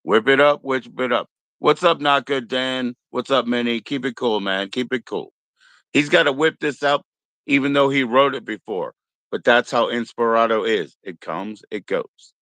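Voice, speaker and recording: A man's voice: medium pitch, normal speed, bright, forceful tone, dry recording. An American man chatting casually as a livestream host, in a laid-back, slangy, encouraging way. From Maryland, United States.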